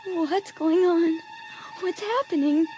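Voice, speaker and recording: An actress playing an excitable, frightened teenage girl with an urgent, emotional delivery in a dramatised audiobook. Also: slow pace, faint music behind. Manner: breathless, urgent, highly emotional.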